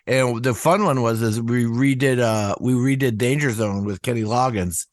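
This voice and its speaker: A man's voice: mid-range voice, normal speed, no echo, studio quality. An older man, a musician guest, chatting casually in an interview with lots of restarts and asides. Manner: rambling, many restarts and asides.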